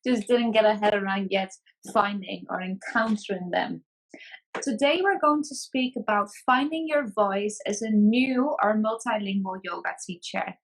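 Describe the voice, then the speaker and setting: A woman with an ordinary mid-pitched voice who hosts a podcast. She asks her guest questions in a warm, encouraging way and laughs easily. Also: normal speed, low-quality recording. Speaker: podcast host. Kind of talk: podcast interview.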